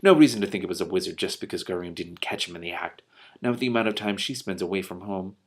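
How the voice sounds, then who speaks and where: A man's voice: deep voice, quick pace. A man voicing an indignant, blustering elderly male dragon from a storybook, given to old-fashioned exclamations. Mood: surprised, emphatic.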